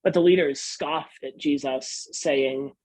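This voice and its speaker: A man reading scripture as a pastor, in a solemn, measured and deliberate manner. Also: on the high side, steady tone, a little mellow.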